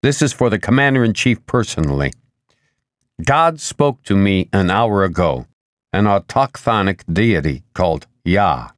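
An exasperated man in an audiobook, acted by a male narrator. He is urgent and indignant, insisting on being heard, and his pitch moves animatedly in a dry, close sound. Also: very animated, unhurried, no echo. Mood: insistent. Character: frazzled, exasperated man, a religious believer pleading urgently. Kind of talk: audiobook character dialogue.

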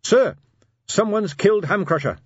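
A man's voice: a little high, sing-song, normal speed, breathy edge, no echo, lo-fi sound, voice pushed up. A man voicing an earnest, dutiful police captain in an audiobook story, respectful and deferential in manner. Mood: urgent.

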